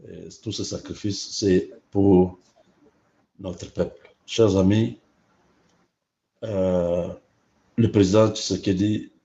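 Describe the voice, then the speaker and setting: A man, hoarse from a cold, talking in a slow, halting way with many fillers as a livestream host. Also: sits low, mellow, lo-fi sound. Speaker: online channel host. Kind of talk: casual livestream commentary.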